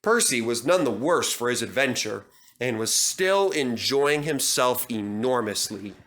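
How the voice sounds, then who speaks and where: Animated, bright storybook narrator, male. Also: mid-range voice, a little slow.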